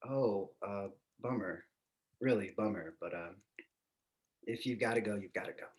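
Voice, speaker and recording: Man acting soft, understated young guy. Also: lowish voice, moderate pace, close to an everyday voice. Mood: let down. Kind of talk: naturalistic romantic comedy table read.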